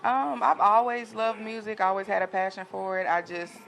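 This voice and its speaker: A young woman from Tennessee with a Southern accent, shy and soft-spoken, answering interview questions and laughing often. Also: medium pitch, flat delivery, normal speed, gravelly. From Jackson, Tennessee. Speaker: singer guest. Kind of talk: casual interview.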